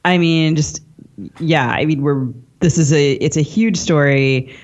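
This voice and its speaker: A woman's voice: deep voice, a little slow, highs cut off. An American woman co-hosting a podcast, introducing and questioning guests in a conversational style. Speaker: podcast co-host, interviewer.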